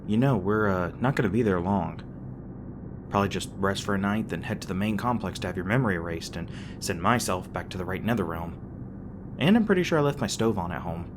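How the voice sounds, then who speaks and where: A man acting a low-voiced teasing demon boyfriend. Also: lively intonation, a little fast. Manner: casual, hesitant, teasing. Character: teasing, crass but caring male demon boyfriend. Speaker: voice actor in audio roleplay. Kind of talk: boyfriend ASMR roleplay, conversational acting. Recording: clearly noisy background with ambient sound effects.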